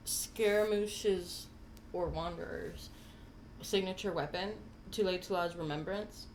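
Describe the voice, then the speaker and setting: A woman's voice: mid-range voice, a little hiss or hum, low-quality recording. A woman game streamer giving casual live commentary as she plays. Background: game music.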